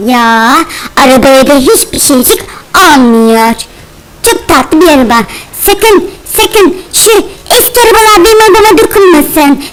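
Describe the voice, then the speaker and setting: A woman's voice: extremely high pitch, poor audio. A woman voicing a bossy, hot-tempered spider mother in a children's cartoon-style role play, boasting and scolding in repeated phrases. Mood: boastful, gleeful.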